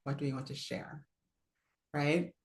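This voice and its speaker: A woman with a low voice teaches a live online singing lesson in a relaxed, chatty way. She laughs often and stops to demonstrate sounds. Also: unhurried, echoey room.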